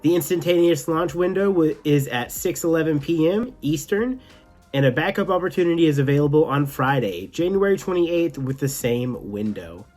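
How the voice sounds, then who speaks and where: A man's voice: fairly high pitch, expressive, normal speed, darkish tone, faint music behind. A man hosting a tech news show, giving enthusiastic, partly scripted commentary with some casual asides.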